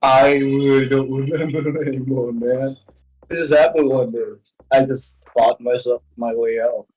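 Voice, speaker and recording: A man answering casually as an interview guest, halting and repeating short phrases as he goes. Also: mid-range voice, steady tone, a little mellow, slightly rough, low-quality recording. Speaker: interview guest, web series creator. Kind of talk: casual chat. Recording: music playing behind. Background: music.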